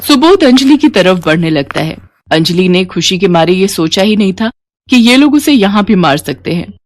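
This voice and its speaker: A woman tells a scripted moral tale. Her voice is mid-pitched, and she narrates in the manner of a bedtime storyteller. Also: animated, moderate pace, dry recording, clean studio sound.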